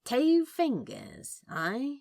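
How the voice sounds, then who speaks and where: A woman's voice: sits high, huge pitch swings, very slow, gravelly, a little mellow, dry recording, exaggerated voice. A woman voicing a skeptical, awkward cool man in a fan fiction audio drama, wry, casual and a little hesitant.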